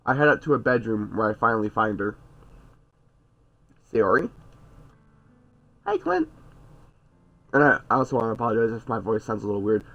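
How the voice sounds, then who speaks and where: A man's voice: lowish voice, steady tone, rapid-fire, few pauses, muffled recording. Stuffy-nosed man reading a game aloud. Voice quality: nasal. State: stuffy nose, scratchy throat. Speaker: game streamer. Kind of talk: reading visual novel aloud.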